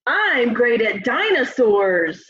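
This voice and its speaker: A young woman reads a picture book aloud to children in a fairly high, slightly husky voice. She is expressive and talks straight to her young listeners. The sound is dull and lo-fi, like a home webcam. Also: takes it slowly. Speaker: storybook reader. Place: room at home, indoors.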